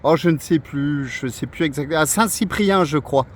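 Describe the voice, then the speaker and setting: A man's voice: a little high, normal speed, a little hiss or hum. A middle-aged man from France chatting casually as a radio operator, hesitating and searching for his words.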